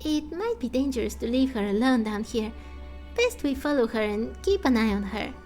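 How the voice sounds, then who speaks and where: A woman voicing a small, excitable and naive fairy companion from a game, in a fan voice-over. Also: on the high side, moderate pace, soft background music, natural voice. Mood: wary.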